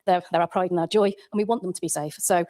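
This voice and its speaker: English female councillor, very quick delivery, slightly low pitch, earnest and emphatic debate speech. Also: warm tone. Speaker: county councillor.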